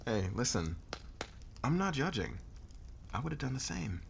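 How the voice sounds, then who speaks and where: A man playing a demanding, calculating corporate boss in a scripted ASMR roleplay, with a sardonic, deliberate, commanding manner. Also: mid-range voice, sing-song, vocal fry, a little hiss or hum. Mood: smug, accusing.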